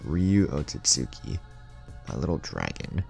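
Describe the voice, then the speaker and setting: A man voicing a feisty, loving young new mother in a story, expressively, in his low narrator's voice. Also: very animated, measured pace, gravelly, a little mellow, full character voice. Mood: soft, loving. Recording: music under the voice.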